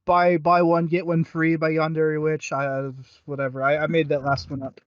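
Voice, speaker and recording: Higher-voiced man, dry lo-fi podcast sound. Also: completely flat delivery, moderate pace, clean tone, dry recording. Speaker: podcast host. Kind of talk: casual review chat. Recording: dry but dull lo-fi audio.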